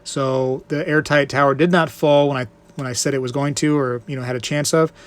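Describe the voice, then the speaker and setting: A man's voice: medium pitch, steady tone, brisk. A man hosting a casual live stream, reading viewer comments aloud and answering them in a joking, rambling way. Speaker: livestream host.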